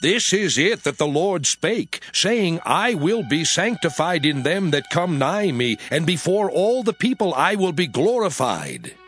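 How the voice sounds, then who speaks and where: An actor playing a solemn, authoritative male prophet in a dramatized scripture reading, declaiming commands gravely. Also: a little high, big pitch swings, unhurried, few pauses, a bit bright. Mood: grave, stern.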